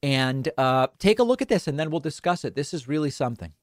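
A man hosting a political commentary show, speaking in a measured, conversational way. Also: a little high, lively intonation, no room sound, studio quality.

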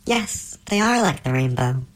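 A man's voice: a little high, sing-song, unhurried, roomy sound, pitched above the performer's own voice. A man voicing a tiny, cheerful winged female fairy spirit in a storybook, with a small sweet voice and a coaxing, arch lilt.

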